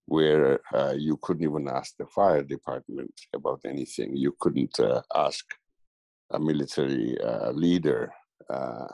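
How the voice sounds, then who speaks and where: Very deep gravelly man, halting speech. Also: darkish tone, no room sound. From Tanzania. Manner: halting, many fillers and restarts. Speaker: guest commentator. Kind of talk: political interview.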